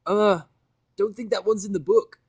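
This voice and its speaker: A man voicing a small, playful, teasing filly pony with a squeaky put-on voice. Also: steady tone, normal speed, vocal fry, a little mellow, slightly rough, dry recording, muffled recording, heavily acted.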